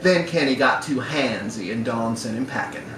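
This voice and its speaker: A man's voice: mid-range voice, lively intonation, moderate pace, fairly bright tone. A man giving a wry live reading of a literary short story, performed as a storyteller. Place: bar room at a live event. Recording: echoey, noisy live room, distant mic.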